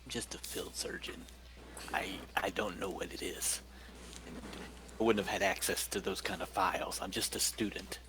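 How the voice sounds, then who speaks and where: A man's voice: low-pitched, steady tone, normal speed. A man voicing a nervous young male student field surgeon who stammers and half-whispers, over background noise. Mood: scared.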